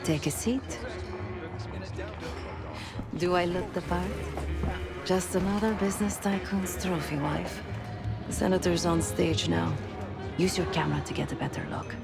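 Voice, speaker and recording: A poised undercover agent in a video game. Her voice is deep for a woman with a creaky edge, and her delivery is controlled, sardonic and instructive. Loud music plays behind her. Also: fairly even intonation, a little slow, pauses often. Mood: wry, composed. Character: cool, shrewd female undercover spy posing as socialite. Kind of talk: video game dramatic acting.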